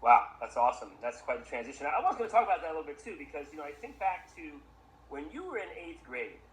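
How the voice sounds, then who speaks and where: A man's voice: on the high side, very animated, fast. A man hosting a podcast and interviewing a former student, encouraging him and asking long, winding questions. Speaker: podcast host, former teacher of the guest. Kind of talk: casual interview. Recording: echoey room with faint background noise.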